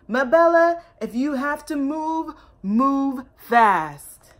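A woman voicing a wise, earnest father mouse in a children's storybook, advising in emphatic, measured phrases. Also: sits high, expressive, voice pushed up, heavily acted. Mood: earnest, instructive. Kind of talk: children's storybook read-aloud.

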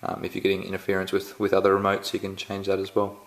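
Low-voiced Australian man unboxing gadgets. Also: completely flat delivery. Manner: halting, many ums and restarts. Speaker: tech reviewer. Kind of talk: casual unboxing walkthrough. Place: indoors at home, filming the box up close.

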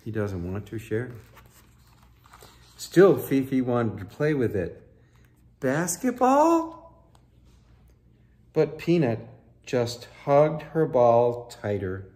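A man in his sixties reading a picture book aloud to children in an expressive, deliberate way. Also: mid-range voice. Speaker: storyteller for children. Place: living room.

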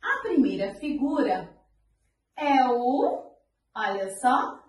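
A Brazilian woman in her thirties, a preschool teacher greeting and teaching small children slowly and warmly. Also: high voice, sing-song. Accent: Brazilian Portuguese. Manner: slow, lilting and warm toward children. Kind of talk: online preschool lesson. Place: small room with pink walls, seated on the floor. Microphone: far camera. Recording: very echoey room from a distant mic.

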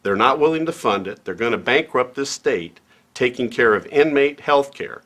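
A man speaking forcefully at a press event, emphatic with fillers between points. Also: medium pitch, moderate pace, slight background noise.

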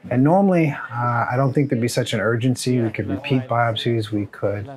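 A man's voice: medium pitch, moderate pace, crowd noise. An American man in his forties, a surgeon, speaking in a documentary as narrator and interviewee. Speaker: surgeon, head of a clinic.

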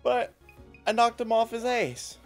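A man's voice: very high-pitched, unhurried. A man co-hosting a casual game stream, bantering in a joking, boastful, slangy way. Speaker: streamer, co-host. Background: music.

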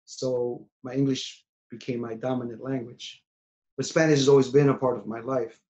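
A man's voice: mid-range voice, steady tone, moderate pace. Rambling man on a lo-fi video call. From New York City. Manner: rambling, many restarts, trailing off quietly. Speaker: singer-songwriter guest. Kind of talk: casual interview over video call. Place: small room at home, indoors. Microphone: webcam on video call. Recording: dull lo-fi video call audio.

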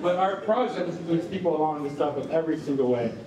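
Young man, informal and animated live presenter, conversational, heard at a distance in an echoing room. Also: a little high, quick pace, a crowd in the background. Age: young adult. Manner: conversational, animated, frequent "like" and "you know". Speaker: project presenter. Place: concrete-walled rehearsal hall before an audience. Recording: roomy, distant mic, faint noise.

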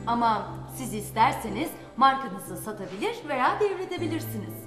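Female educational presenter, young adult, high-pitched, addressing kids in a reverberant room. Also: sing-song, moderate pace. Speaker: adult mentor advising children. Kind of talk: scripted educational presentation to children. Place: large indoor library-like meeting room. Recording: echoey hall, music behind.

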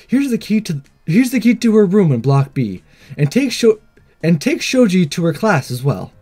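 A man plays a courteous schoolmistress from a visual novel. The voice is lifted high and gives instructions in a businesslike way, with music underneath. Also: very animated, normal speed, dark tone. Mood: polite, composed. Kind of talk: visual novel character read aloud.

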